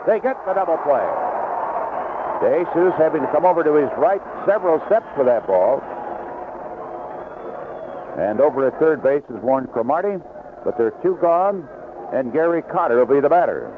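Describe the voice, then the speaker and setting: A man working as a play-by-play announcer, giving live 1970s baseball radio commentary, unhurried in description and more animated on the action. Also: fairly high pitch. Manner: unhurried description between plays, rising excitedly on action. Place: outdoor ballpark broadcast booth. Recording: noisy, tinny vintage AM radio broadcast.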